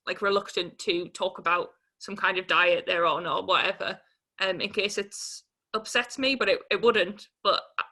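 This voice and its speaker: A woman's voice: mid-range voice, bright, forceful tone. A woman, giving a candid personal account in an informal, hesitant way as an interviewee. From Newcastle upon Tyne, England. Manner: informal, hesitant, peppered with 'like'.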